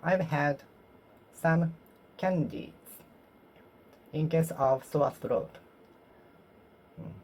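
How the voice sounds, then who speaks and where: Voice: medium pitch, extremely slow, long pauses, darkish tone. An English learner whose first language is Japanese, practising speaking alone, repeating phrases and correcting themselves as they go. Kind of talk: solo speaking practice, talking to oneself.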